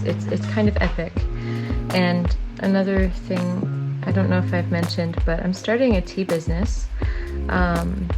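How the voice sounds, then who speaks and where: A woman's voice: fairly low pitch, low-quality recording. A woman leaving a casual voice message, rambling and hesitant with many fillers as she describes what she wants. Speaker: guest. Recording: music playing under voice.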